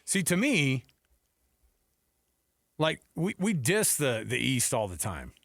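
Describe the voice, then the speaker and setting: Guy cohosting sports radio, really lively pitch swings, teasing and chatty, dry studio sound. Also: slow, creaky, no room sound. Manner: teasing, excitable, conversational. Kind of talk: casual sports banter.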